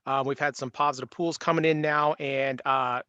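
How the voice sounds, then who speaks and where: A man's voice: medium pitch, steady tone, moderate pace, muffled recording, over music. A man presenting an update in a meeting, conversational and loosely phrased. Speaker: public health surveillance presenter.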